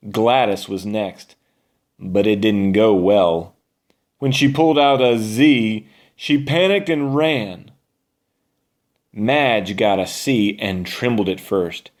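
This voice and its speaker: A man reading a children's story aloud as narrator, expressively, with dramatic pauses. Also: mid-range voice.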